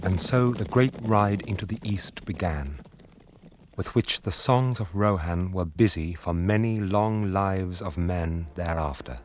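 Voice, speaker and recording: A man's voice: sits low, unhurried, slight background noise. A man giving solemn scripted narration for a radio drama.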